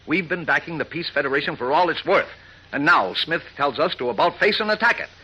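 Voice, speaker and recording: A man's voice: fairly high pitch, animated, fairly quick, pushed and bright, husky, muffled recording, exaggerated voice. A man playing a hot-tempered, stubborn middle-aged newspaper editor in 1940s radio style, blustering and emphatic. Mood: exasperated. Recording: noisy old radio recording.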